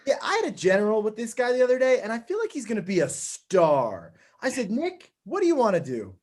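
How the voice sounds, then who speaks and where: Male, actor as smooth-talking network executive, chummy and glib, very animated pitch, comedy table read. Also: a little fast, heavily acted. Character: breezy, slick male TV network boss.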